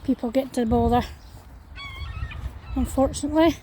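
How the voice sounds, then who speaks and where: A Scottish woman vlogging as she walks along an outdoor path in a small town. Her voice is croaky, and her talk is full of fillers and restarts. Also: high voice, steady tone, moderate pace, dark tone, slight background noise. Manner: hesitant, many fillers and restarts. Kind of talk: walking vlog chat.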